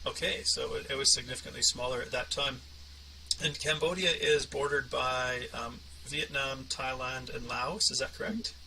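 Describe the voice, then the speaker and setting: A man's voice: medium pitch, fairly even intonation, normal speed, pushed and bright, distant mic, a little hiss or hum, rough recording. A man hosting an interview podcast and talking conversationally with a guest. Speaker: podcast host and coach.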